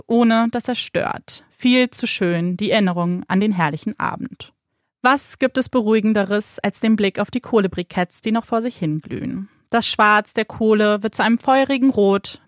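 A young woman co-hosting a podcast, narrating a crime case in a slow, suspenseful storytelling manner with rhetorical questions. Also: on the high side, no room sound, clean studio sound. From Germany, raised bilingual by Polish parents. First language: Polish. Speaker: podcast co-host telling the case. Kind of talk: dramatic true crime storytelling. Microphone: studio microphone.

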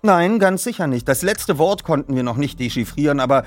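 A man's voice: fairly high pitch, animated, voice pushed up, lightly acted. Man playing an articulate, self-assured boy detective. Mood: earnest. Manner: formal, precise, measured wording.

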